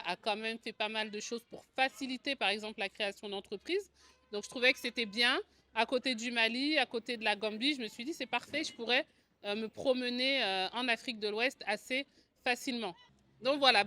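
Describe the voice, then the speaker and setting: A woman's voice: on the high side, bright, forceful tone. A woman in her forties with a French accent from France tells her own story in a conversational, explanatory vlog style. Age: 40s. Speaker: video channel host, agency co-founder. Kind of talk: personal vlog storytelling to camera.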